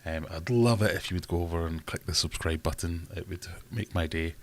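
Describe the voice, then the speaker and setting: A man's voice: low voice, fairly quick. A man hosting a casual livestream from home, rambling and self-deprecating as he reads out chat comments. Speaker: livestream host.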